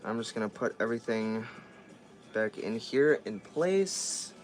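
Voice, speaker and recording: A man's voice: fairly low pitch, lively intonation, a little slow, soft background music. Man thinking aloud over a repair. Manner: thinks aloud, trailing off and restarting. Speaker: vlogger repairing dolls. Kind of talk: casual chat while working.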